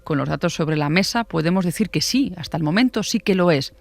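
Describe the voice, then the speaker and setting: Male true-crime narrator, slightly high-pitched voice, very dry studio sound. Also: lively intonation, a little fast, gravelly, dry recording. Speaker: podcast narrator.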